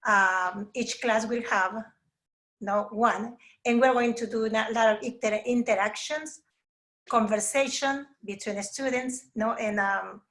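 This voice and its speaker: A woman with a Peruvian Spanish accent speaks English as a second language in a fairly high voice. She is eager and sometimes searches for words. The sound comes through a video call. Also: steady tone, a little slow, fairly bright tone. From Peru. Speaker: Spanish teacher. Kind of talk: school open house presentation.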